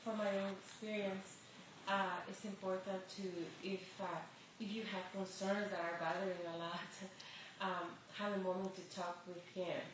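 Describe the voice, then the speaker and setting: Woman group member, gentle, distant, reverberant room with noise. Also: medium pitch, flat delivery, normal speed. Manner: soft, gentle, laughs lightly. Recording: distant, very echoey hall with noise.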